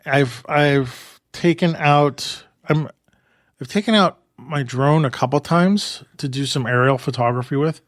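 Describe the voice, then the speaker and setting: A man from New York co-hosting a photography podcast, chatting in a relaxed, rambling way with many restarts and laughs. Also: on the high side, measured pace, dry recording, clean studio sound. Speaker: podcast co-host. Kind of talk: casual chat.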